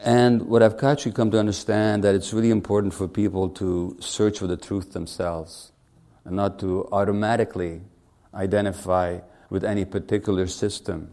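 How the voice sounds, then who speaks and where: Brief: man, 60s, from Hungary, physician at a podium, wry and conversational, warm low voice. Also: little pitch movement, normal speed. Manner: conversational, wry, asks the audience questions. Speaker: physician giving a public lecture. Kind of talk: public speech to an audience.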